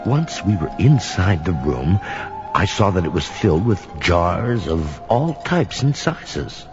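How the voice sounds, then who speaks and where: Sinister old male storyteller, low and slow, swinging dramatically, spooky Halloween style, music behind, muffled sound. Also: big pitch swings, full character voice. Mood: frightened, suspenseful. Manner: slow, dramatic, whispers and outbursts. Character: sinister old storyteller welcoming listeners into his home. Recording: dull lo-fi recording.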